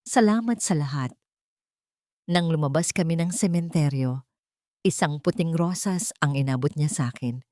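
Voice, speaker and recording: A woman's voice: sits low, normal speed, warm tone, dry recording, clean studio sound. A woman reading a first-person story as scripted audiobook narration, in an earnest, dramatic storytelling manner.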